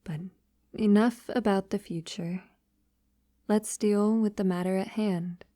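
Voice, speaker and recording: A tender, long-lived female vampire acted by a woman speaks intimately to a lover in an audio roleplay. The voice is dark and soft, very slow, with hesitant pauses and breathy near-whispers. The sound is dry and close. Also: medium pitch, steady tone, no echo, lightly acted. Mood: loving, devoted.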